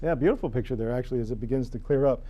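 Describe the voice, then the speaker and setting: A man's voice: medium pitch, very fast, dark tone, lo-fi sound. An American man working as a TV weather forecaster, ad-libbing live storm coverage in an explanatory way. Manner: explanatory, unscripted.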